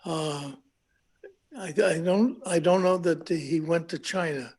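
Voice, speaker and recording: Guest: man, late 90s, veteran, slow halting recollection, slightly higher voice. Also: steady tone, darkish tone, husky. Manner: halting, searching for words with many uhs. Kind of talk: live video conversation.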